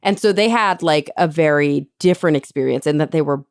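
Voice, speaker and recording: A woman's voice: low voice, a little fast, dry recording, studio quality. A woman, hosting a podcast interview in a chatty, think-aloud way. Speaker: podcast host. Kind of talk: casual conversational interview.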